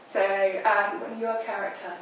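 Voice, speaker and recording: A woman's voice: fairly high pitch, flat delivery, measured pace, fairly bright tone, lo-fi sound. A woman interviewing informally, thinking aloud with some hesitation. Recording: echoey, distant live stream, faint noise.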